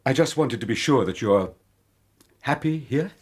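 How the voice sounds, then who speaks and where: A courteous older English gentleman. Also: medium pitch, lively intonation, normal speed, breathy edge. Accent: British. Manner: polite, gentle, earnest. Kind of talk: radio drama acting.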